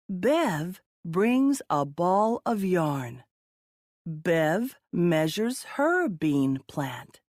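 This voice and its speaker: A woman reading children's stories aloud in a deliberate, expressive way for young listeners. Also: on the low side, no room sound, studio quality. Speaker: children's storybook reader. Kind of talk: read-aloud children's story narration.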